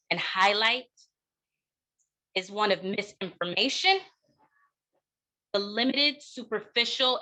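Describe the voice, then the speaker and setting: Bright, forceful woman giving a keynote. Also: mid-range voice, poor audio. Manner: deliberate, emphatic, dramatic pauses. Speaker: keynote speaker, counseling clinician. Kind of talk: keynote speech.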